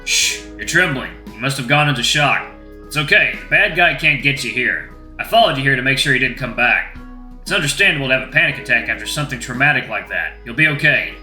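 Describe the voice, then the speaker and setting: A performer voicing a huge, friendly male wolf giant who talks in a calm, soothing, lightly teasing way. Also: medium pitch, brisk, echoey room, faint music behind.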